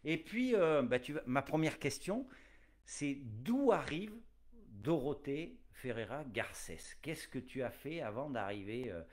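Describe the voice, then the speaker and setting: A man hosting a live interview show from home, talking in a relaxed, chatty way with many fillers and playful asides. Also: medium pitch, unhurried. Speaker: host.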